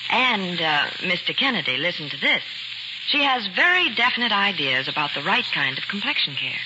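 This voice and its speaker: Lively-pitched woman on crackly old radio. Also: normal speed. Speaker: Hollywood reporter and soap pitchwoman. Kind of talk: scripted 1940s radio advertorial chat. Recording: dull, very noisy vintage radio recording.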